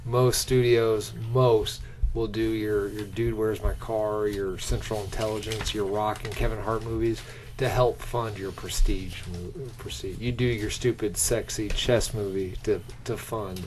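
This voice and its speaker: A man's voice: lowish voice, steady tone, a little slow. A man co-hosting a podcast in casual chat, insistent and often restarting his sentences. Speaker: podcast co-host pitching movie ideas.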